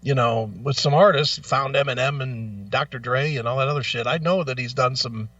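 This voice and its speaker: Opinionated guy host, sharp edgy voice, blunt and emphatic, dry lo-fi sound, bit off mic. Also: mid-range voice, moderate pace, dry recording, distant mic. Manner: blunt, profane, emphatic, repeats phrases for stress. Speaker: talk show host. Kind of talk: casual opinionated commentary.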